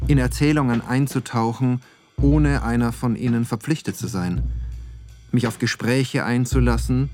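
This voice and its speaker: A man's voice: medium pitch, a little mellow, natural voice. An actor playing a reflective male photographer, musing in thoughtful, literary phrases in a radio play.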